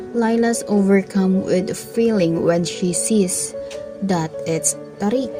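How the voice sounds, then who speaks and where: A woman reading scripted story narration in a slow, measured way. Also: mid-range voice, rough recording.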